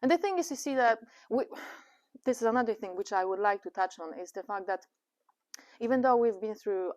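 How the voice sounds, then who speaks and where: A woman's voice: a little high, expressive, normal speed. A woman with a Polish accent co-hosting a casual podcast, talking in an encouraging, reflective way and laughing easily. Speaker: podcast co-host.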